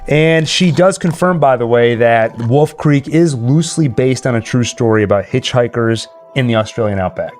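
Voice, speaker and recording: A man's voice: medium pitch, normal speed, few pauses, a little mellow. A man hosting a livestream, chatting casually and reading out comments. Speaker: livestream host. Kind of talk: casual chat.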